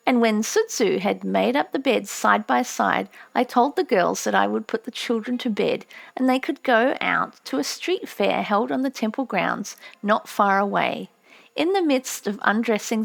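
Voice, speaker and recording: A woman reading a memoir as an audiobook narrator, with measured storytelling delivery. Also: a little high, vocal fry.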